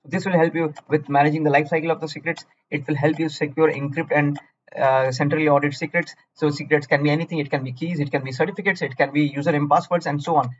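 Fast-talking Indian man lecturing. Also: fairly high pitch, steady tone, husky, dull audio. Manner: blunt, repetitive, scolding lecturing. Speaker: cloud certification tutor. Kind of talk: screen-recorded exam walkthrough.

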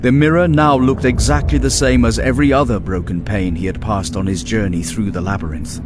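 A man's voice: on the low side, steady tone, fairly quick, noisy background. A man reading dramatic fantasy narration in a grave, portentous manner as an audiobook narrator. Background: music.

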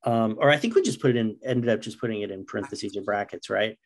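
A man's voice: on the low side, quick pace. Middle-aged man, casual interview guest. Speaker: guest, writer. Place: indoors, on a video call. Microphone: video call microphone.

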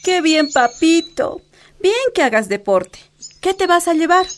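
A woman's voice: extremely high pitch, expressive, measured pace. An actress playing a chatty, motherly market vendor with a Bolivian accent, affectionate and singsong.

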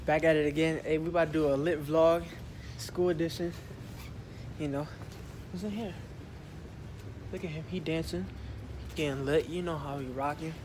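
A man's voice: fairly high pitch, fairly even intonation, normal speed, long pauses. Rambling young man vlogging in a noisy hallway. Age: young adult. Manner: loose, rambling slang with many fillers. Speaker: vlogger, high school student. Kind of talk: casual vlog chat. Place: school hallway, indoors. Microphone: phone camera held close.

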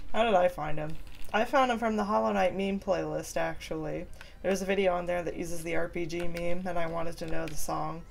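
A woman streaming a game from home, chatting with viewers in a relaxed, giggly way. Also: on the low side, moderate pace, vocal fry, a little hiss or hum. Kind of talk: casual chat while gaming. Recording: faint keyboard typing behind.

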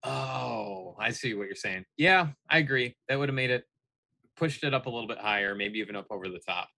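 A man's voice: mid-range voice, animated, brisk, creaky, bright, forceful tone. A man in his thirties chatting casually as co-host of a film podcast. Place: indoors, small room.

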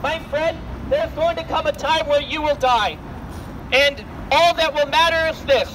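Very high-pitched young male street preacher. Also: a little slow. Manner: earnest, declamatory, projecting, repetitive direct address. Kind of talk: open-air gospel sermon. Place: outdoors on a busy city sidewalk. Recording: noisy city street, traffic ambience.

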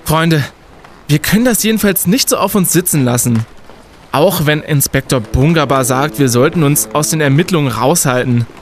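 Young student detective played by a man, raised pitch, bold and indignant, slangy, music underneath. Radio play. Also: animated, moderate pace, natural voice. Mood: indignant, determined. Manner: emphatic, colourful slang. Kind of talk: German audio drama acting.

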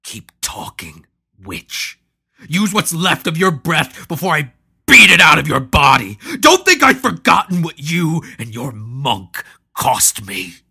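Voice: low-pitched, slow, sharp tone, breathy edge, full character voice. A storyteller voicing a vengeful male spear-wielding warrior who speaks in a thunderous, menacing way. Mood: threatening. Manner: thunderous, through clenched teeth.

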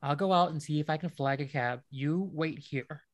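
A man's voice: fairly high pitch, moderate pace, no room sound, lightly acted. Young husband male actor, tired but caring, practical, natural modern radio-drama delivery, dry sound. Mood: practical, weary.

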